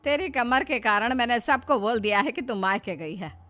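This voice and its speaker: A mocking, domineering middle-aged mother-in-law in a moral story, put on by a female narrator. She speaks high and forcefully, handing out orders over background music. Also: animated, normal speed. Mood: mocking, bossy. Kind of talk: acted storytelling.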